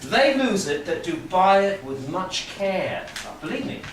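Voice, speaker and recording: A high-voiced, talkative Venetian joker acted by a man works through stage verse with lively swings of pitch. He is theatrical and teasing, recorded at a distance in an echoey room. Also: measured pace. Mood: concerned. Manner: theatrical, expansive. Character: talkative, merry, teasing Venetian gentleman who plays the fool. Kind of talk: staged reading of Shakespearean verse. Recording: echoey room, distant mic, faint noise.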